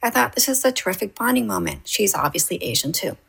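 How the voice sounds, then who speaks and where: A woman's voice: mid-range voice, expressive, quick pace, creaky, fairly bright tone, breathy edge. A middle-aged woman from Toronto with a Canadian accent, telling a personal story as an interviewed playwright. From Canada, raised in Toronto.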